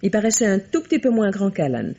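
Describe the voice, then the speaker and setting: A woman's voice: mid-range voice, sing-song, quick pace, dark tone, husky. An actress playing an evasive, coquettish woman suspect in a 1950s-style French radio drama, speaking hesitantly and coyly. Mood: flustered. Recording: dull, lo-fi vintage radio recording.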